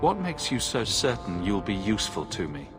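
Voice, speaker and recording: A sceptical adventurer in a fantasy video game. His voice is fairly low, with a dry, guarded and questioning delivery over clear background music. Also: huge pitch swings, normal speed. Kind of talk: video game dialogue acting.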